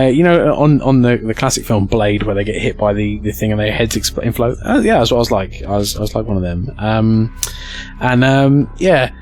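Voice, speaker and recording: A British man streaming to a live chat, telling casual comedic stories with crude, self-mocking humour and acting out the dialogue. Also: medium pitch. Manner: acts out dialogue with excited outbursts. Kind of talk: animated casual comedic storytelling.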